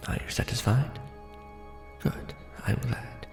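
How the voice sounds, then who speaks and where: A man playing a courtly, brooding vampire lord in an ASMR roleplay, speaking formally and deliberately in a hushed, breathy, gravelly voice. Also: close to an everyday voice. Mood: courtly, protective. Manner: formal, hushed, deliberate, theatrical aristocratic address. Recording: roomy echo under background music. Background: music.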